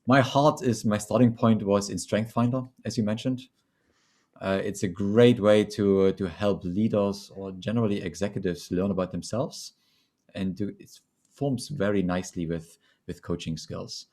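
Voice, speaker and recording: Very mellow German-accented man. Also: on the low side, steady tone, normal speed. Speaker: leadership coach and trainer, webinar guest. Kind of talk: conversational self-introduction in a webinar.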